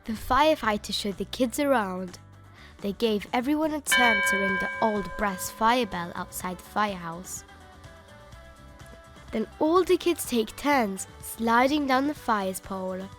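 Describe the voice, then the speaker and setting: A woman reading a children's picture book aloud in an expressive, emphatic way, stretching out key words. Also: a little high, unhurried. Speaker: children's story reader. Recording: music bed behind the voice.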